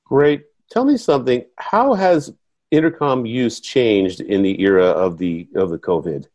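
A man's voice: medium pitch, lively intonation, a little slow, a little mellow, no room sound. A man in his sixties asking conversational interview questions over a dull video call from home. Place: room at home, indoors. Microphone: computer video call.

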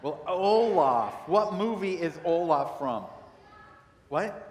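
A middle-aged man, a pastor, giving a children's sermon and asking the kids many gentle questions. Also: high voice, animated, takes it slowly, a little hiss or hum. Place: large church sanctuary. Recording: long church reverb.

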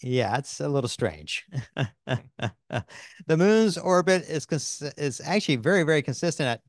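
A man's voice: fairly high pitch, very animated, no echo. Older male co-host, upbeat scripted delivery, dry studio sound, handheld mic. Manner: upbeat, reads scripted lines with quick affirmations. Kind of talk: scripted corporate town hall chat. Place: studio set in front of a screen.

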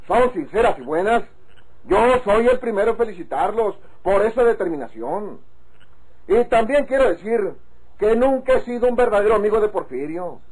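A man's voice: high voice, darkish tone, breathy edge, rough recording. An actor playing a blunt, proud, honest rural man with a Mexican accent in an old radio drama, speaking insistently. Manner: emphatic, insistent.

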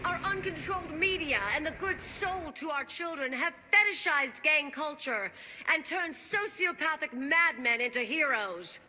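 A righteous female senator declaims a political speech in a video game. Her high American voice is measured and indignant, ringing with long reverb over loud noise. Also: animated, heavily acted. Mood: righteous indignation. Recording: echoing public-address sound, loud background noise.

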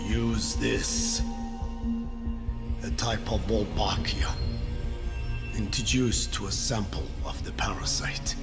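Slow, solemn ancient scientist. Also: male voice. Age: elderly. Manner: slow, deliberate, breathy phrasing. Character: frail ancient male scientist, solemn and wise. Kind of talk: dramatic video game acting. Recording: loud music and vehicle rumble behind.

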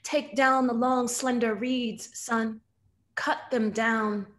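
A woman in her thirties reading poetry with deliberate, measured phrasing. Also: fairly high pitch, steady tone, fairly bright tone. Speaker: poet reading at an online event. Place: room at home.

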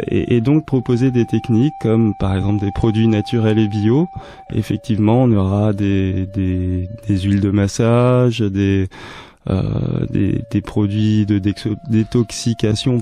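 Male radio guest, thirties, soft mellow tone, searching for his words, close studio mic. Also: fairly low pitch. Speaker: guest, wellness fair organiser. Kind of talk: radio interview answers. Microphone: close studio microphone, headphones.

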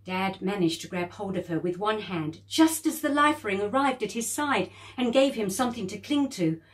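A woman reading a children's story aloud, expressive and lively, lifting her voice high for the children's lines. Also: moderate pace.

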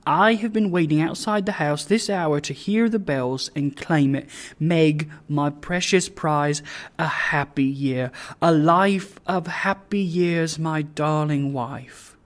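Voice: mid-range voice, slow, lightly acted. Jolly young bridegroom, hearty as a narrator plays him. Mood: jolly, loving.

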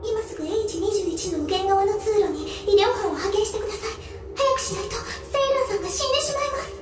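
Very high-voiced, gentle girl captain. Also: quick pace. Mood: urgent. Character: gentle, polite teenage girl military captain. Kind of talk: Japanese audio drama acting. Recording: loud music behind the voice.

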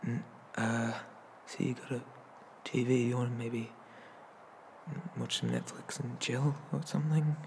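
A man plays a bashful young incubus in an ASMR roleplay. He speaks slowly and softly at a middle pitch, stammering and drifting into whispers, with clear background noise on the recording. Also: steady tone. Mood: nervous, tender. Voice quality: soft, often slipping into breathy whispers. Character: shy, awkward, gentle young male incubus demon.

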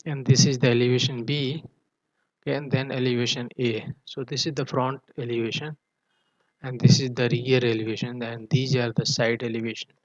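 A man giving a technical tutorial in a halting manner, often punctuating his explanations with a checking "okay". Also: mid-range voice, level tone, no room sound. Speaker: engineering tutor. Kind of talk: tutorial walkthrough of technical drawings. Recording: dull, muffled lo-fi voice track.

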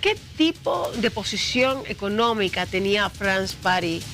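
A woman's voice: medium pitch, lively intonation, a bit bright, faint music behind. A young woman, hosting a morning TV show and asking pointed questions in a studio. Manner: brisk, probing questions. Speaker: TV news host.

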